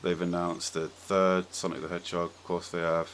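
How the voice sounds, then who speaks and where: A man's voice: low-pitched, little pitch movement, moderate pace, a bit bright. A man hosting a podcast, chatting casually and informally with strong opinions. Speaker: podcast host. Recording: clearly audible background noise.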